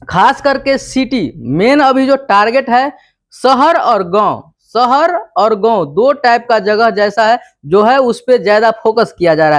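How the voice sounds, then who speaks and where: A man with a Bihari accent explaining news informally, in an emphatic, repetitive, instructive way. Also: very high voice. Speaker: presenter of a local news explainer channel.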